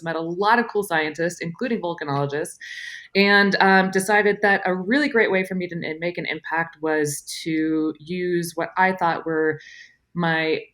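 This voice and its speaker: A woman's voice: fairly low pitch, a bit bright. A woman in her forties, a guest speaking casually in a podcast interview, chatty and self-deprecating with frequent laughs. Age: 40s. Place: home room.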